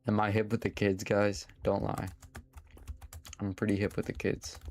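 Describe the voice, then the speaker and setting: A man's voice: fairly low pitch, monotone, normal speed, darkish tone, slight background noise. A young adult man chatting casually to his chat while playing a game live, swearing now and then. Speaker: streamer. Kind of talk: casual live gaming commentary.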